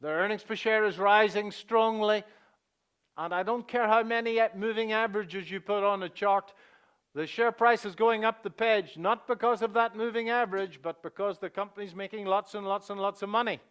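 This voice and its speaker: A middle-aged man with a Northern Irish accent speaks to a conference audience from the front of a hall. His voice sits a bit high for a man, and he talks in a chatty, joking way. He fills pauses with "uh" and drops his voice low for quick asides. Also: steady tone, a bit bright, clear, resonant tone. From England. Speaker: seminar presenter. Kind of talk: live conference presentation.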